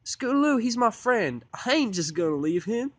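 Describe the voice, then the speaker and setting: An earnest little filly acted out by a man, pitched far up from a normal male range. The speech is eager and folksy, like a cartoon farm kid in a story reading, recorded close and dry. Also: very animated, moderate pace, no echo. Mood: pleading. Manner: pleading, earnest, folksy country grammar. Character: eager, earnest young female pony filly, country-raised.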